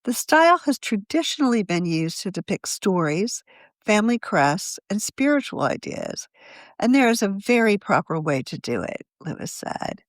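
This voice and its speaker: A woman narrates a scripted magazine article in a measured, journalistic way. Her medium-pitched voice moves in lively rises and falls. It is recorded close and dry, with no room sound. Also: dry recording. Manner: measured, journalistic read-aloud delivery. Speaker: magazine editor reading her own article.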